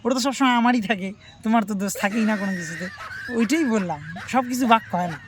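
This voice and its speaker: Man, unusually high voice, quick teasing complaints, comedy skit, heavy background noise. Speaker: husband in comedy skits. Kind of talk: domestic comedy skit banter. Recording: very noisy home-video sound.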